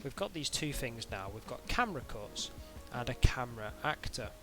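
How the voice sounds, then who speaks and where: A man narrating an informal software tutorial in a hesitant, halting way, as if thinking aloud. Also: mid-range voice, sing-song, over music. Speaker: tutorial instructor.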